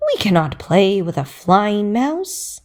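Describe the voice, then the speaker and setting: A woman's voice: mid-range voice, big pitch swings, slow pace, darkish tone. A woman voicing a haughty, snubbing bird in a children's storybook.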